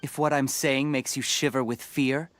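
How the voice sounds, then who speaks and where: An actor playing a shifty, zealous desert swindler in a video game, haughty and menacing, with a theatrical, sneering delivery. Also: male voice, mid-range voice, little pitch movement, normal speed, a bit bright, a little hiss or hum, close to an everyday voice. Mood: ominous. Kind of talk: video game character dialogue. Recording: faint music behind the voice.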